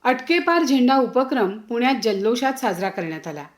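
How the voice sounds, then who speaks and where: A woman's voice: a little high, sing-song, a little fast, over loud music. A woman presenting a newsreel in scripted news narration.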